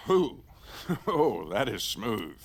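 Sly cowboy senator for audio drama: mid-pitched, very animated, courtly, folksy, quietly menacing. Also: male voice, very slow, full character voice. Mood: sly, commanding. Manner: folksy, needling politeness.

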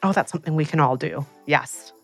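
A woman's voice: sits low, brisk, faint music behind. A woman hosting a podcast interview, upbeat as she wraps up with her guest. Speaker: podcast host.

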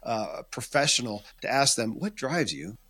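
A male podcast host with an ordinary mid-range voice. He talks in a relaxed, conversational way. His questions run long and wander before they land. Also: medium pitch, moderate pace, slightly rough. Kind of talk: podcast interview.

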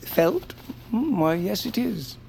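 A woman playing a genteel, slyly mysterious male tailor creature in a family audio comedy, courteous and theatrical, with drawn-out musing. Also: medium pitch, sing-song, vocal fry, mellow, slight background noise, exaggerated voice. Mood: helpful, fussy.

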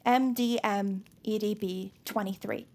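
A woman playing a nerdy, earnest, excitable young superfan in an audio drama, rambling through her explanations. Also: mid-range voice, a little slow. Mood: eager, showing off. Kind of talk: scripted audio drama acting.

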